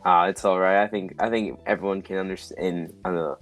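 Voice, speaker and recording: A man's voice: deep voice, fairly even intonation, normal speed, faint music behind. A man hosting a podcast interview, upbeat and encouraging, often repeating "awesome" and "exactly". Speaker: podcast host.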